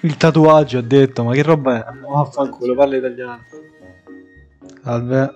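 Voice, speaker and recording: A man's voice: mid-range voice, fairly even intonation, moderate pace, a little mellow, lo-fi sound, faint music behind. A man playing an online roleplay game, chatting off the cuff with a mock-polite manner. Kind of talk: improvised casual roleplay chat.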